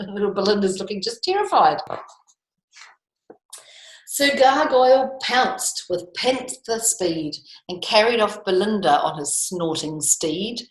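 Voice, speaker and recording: A woman's voice: medium pitch, lively intonation, takes it slowly. A woman reading a children's story expressively, with chatty explanations and questions to her listeners. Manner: conversational, explaining, asking tag questions. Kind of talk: expressive read-aloud with chatty asides. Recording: echoey room.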